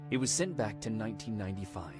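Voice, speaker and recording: A weary resistance soldier voiced by a man in a video game, earnest and sombre. His voice sits fairly low beneath very prominent music. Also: lively intonation, quick pace, natural voice. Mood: sombre, reflective. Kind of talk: dramatic game dialogue and reflective narration. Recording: loud music behind the voice.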